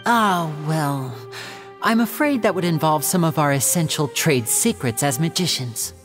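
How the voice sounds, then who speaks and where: An actor playing a polite, earnest young male stage magician in a video game, speaking in a courteous, measured and gently theatrical way. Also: on the high side, expressive, music in the background. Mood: wistful, proud.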